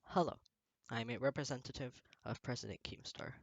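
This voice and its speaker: A man's voice: mid-range voice, fairly even intonation, fairly quick, creaky, no room sound. A man playing a solemn presidential spokesman reading a formal national address in an amateur drama.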